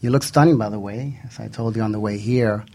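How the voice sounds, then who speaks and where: A man's voice: on the low side, fairly quick, mellow. A man co-hosting a radio show in a studio with casual, flirtatious banter.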